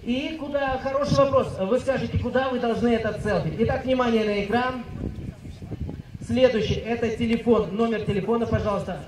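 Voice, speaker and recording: A man's voice: very high-pitched, steady tone, a little fast. A man hosting an event, addressing a crowd in an upbeat announcer's manner. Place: outdoor team-building event at a resort. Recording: echoey, distant mic, crowd noise.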